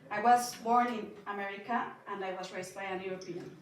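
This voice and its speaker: A woman's voice: mid-range voice, steady tone, brisk. A young woman from Mexico and Austria, native in Spanish, nervously reading a personal talk aloud to an audience, her voice shaky and broken by small laughs. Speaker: speaker giving a talk. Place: event hall at a building inauguration. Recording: echoey hall, speaker far from mic.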